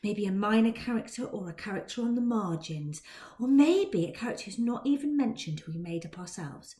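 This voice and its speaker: A woman teacher and author talking to students and reading a story aloud in a dramatic, expressive way. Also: mid-range voice, brisk. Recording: roomy indoor echo.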